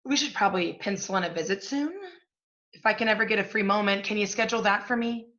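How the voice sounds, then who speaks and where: A woman acting as a blunt, cynical career woman with a forceful, bright voice. She is sardonic and swears freely, in stage play style. The recording is slightly dull and lo-fi. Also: medium pitch, fast, natural voice. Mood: wry, flippant. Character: Hope, brash hard-drinking cynical literary agent woman.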